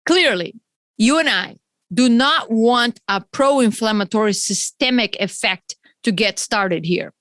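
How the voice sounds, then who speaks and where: A woman's voice: on the high side, expressive, measured pace, fairly bright tone, no echo, studio quality. A woman with a Brazilian accent hosting a solo health podcast, chatty and explaining terms with friendly asides. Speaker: podcast host.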